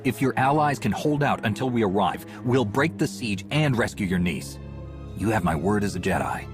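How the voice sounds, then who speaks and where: A calm, noble warrior monk played by a man in a sci-fi game cutscene. His voice is on the low side, formal and firmly assured, with clear music behind it. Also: moderate pace, natural voice. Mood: calm, resolute. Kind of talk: video game cutscene acting.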